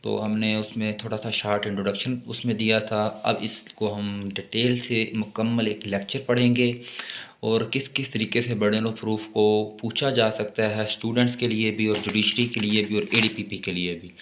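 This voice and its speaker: A man with a Pakistani accent giving an exam-focused law lecture, reading notes deliberately and explaining them informally with repetition. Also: fairly low pitch, level tone, a bit bright, slight background noise. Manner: reads English passages slowly and deliberately, then explains informally with repetition. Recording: echoey room.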